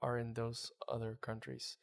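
A man's voice: medium pitch, completely flat delivery, moderate pace, vocal fry. A man hosting an interview, asking short, curious follow-up questions in a casual tone. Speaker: host.

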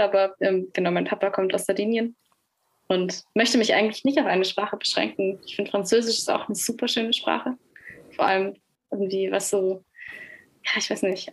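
Young woman, Franconian German accent, chatty, relaxed filler-heavy talk, podcast mic. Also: mid-range voice. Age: young adult. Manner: chatty, frequent fillers, laughs easily. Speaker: musician guest. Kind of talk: casual interview chat.

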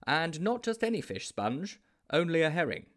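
Man doing a pompous would-be detective, very animated. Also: fairly high pitch, moderate pace, pitched above the performer's own voice. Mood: pedantic. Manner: pompous, emphatic explaining. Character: self-important, grandly adventurous would-be detective named Mildew. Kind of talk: storybook character voice.

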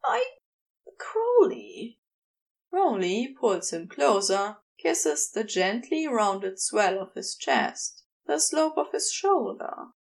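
A woman reading erotic fiction aloud as a scripted, measured narration. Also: mid-range voice, animated, vocal fry. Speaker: fan fiction audiobook reader.

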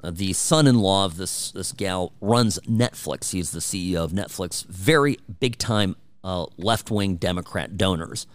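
A man's voice: sits low, a little slow, hardly any pauses, no echo. A man hosting a talk radio show, delivering an opinionated, emphatic and conversational monologue. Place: radio studio.

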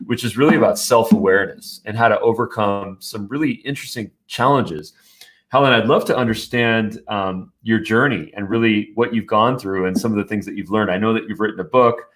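A man's voice: on the low side, a bit bright. A man hosting a business podcast, upbeat and chatty, who restarts his questions as he asks them. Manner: upbeat, repeats himself when starting questions. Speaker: podcast host. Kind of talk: interviewing a guest.

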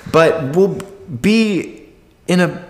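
A man co-hosting a casual podcast with lots of swearing. His pitch jumps around in an animated way as he hypes things up and encourages. The room around him echoes noticeably. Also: drawn-out words. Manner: passionate, hyped-up, encouraging. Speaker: podcast co-host. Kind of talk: casual, profane podcast chat. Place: echo-heavy indoor room.

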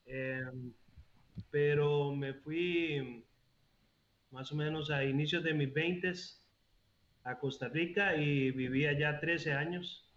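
A man from Honduras, a guest answering interview questions casually with soft hesitations. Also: on the high side, steady tone, slow, long pauses. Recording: dull, roomy remote call audio.

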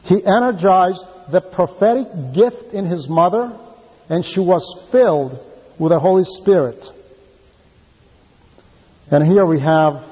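Slow-spoken male preacher in a reverberant hall. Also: high-pitched, slightly rough. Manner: emphatic, deliberate, repeats key phrases for emphasis. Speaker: preacher reading a translated homily. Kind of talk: sermon. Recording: muffled, very reverberant hall sound.

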